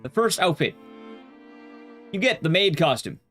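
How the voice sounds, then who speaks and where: A man's voice: sits high, big pitch swings, unhurried, fairly bright tone, faint music behind. A man livestreaming a game and chatting casually with viewers, rambling and restarting his sentences as he goes. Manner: rambling, often restarting sentences mid-thought.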